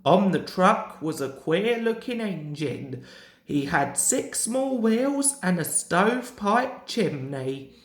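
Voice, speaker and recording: A woman reads a children's storybook aloud at a very slow, deliberate pace. Her delivery is expressive and moves up and down widely. The recording is roomy and echoing. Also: fairly low pitch. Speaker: story reader.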